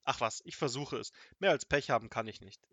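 A man's voice: mid-range voice, a little fast, fairly bright tone, no echo, lightly acted. A plucky young puppet hero, voiced by a man talks earnestly in an everyday tone. The recording is close and dry.

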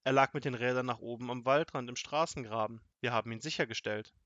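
A man voicing a pompous, irascible village police sergeant in a children's storybook, gruff and emphatic. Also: medium pitch, quick pace, dry recording, highs cut off, close to an everyday voice. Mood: official. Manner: gruff, pompous, shouting in outrage.

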